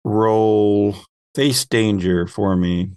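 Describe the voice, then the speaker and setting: Slow, lowish-voiced male game master. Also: fairly even intonation, a little mellow, smooth, no room sound. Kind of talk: directing a tabletop game.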